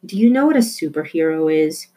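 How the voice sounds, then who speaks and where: A woman voicing an earnest, excitable young girl in a storybook, explaining things eagerly and emphatically. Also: sits low, a little fast. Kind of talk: storybook character voice.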